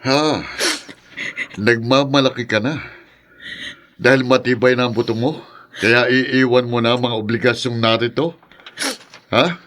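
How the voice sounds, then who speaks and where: A man's voice: medium pitch, fairly even intonation, a little slow, long pauses, a bit bright, lightly acted. Man as a harsh, bitter Filipino father. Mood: angry, scornful. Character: harsh, bitter, domineering middle-aged father, scornful. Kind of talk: Filipino radio drama acting.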